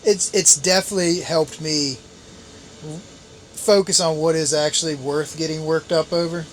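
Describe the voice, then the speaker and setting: A man in his late thirties chatting casually on a fishing podcast, relaxed and rambling. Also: fairly high pitch, normal speed, slight background noise, poor audio. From Chesapeake Bay area, US. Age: 30s. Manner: relaxed, rambling, with restarts and laughs. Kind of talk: casual chat. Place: on a boat out on the water.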